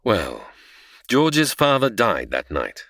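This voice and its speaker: A man's voice: fairly low pitch, wildly swooping pitch, takes it slowly, creaky, over loud music, full character voice. A man playing a patient, slightly flustered angel who narrates and explains a story in a comedy audio drama. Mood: storytelling.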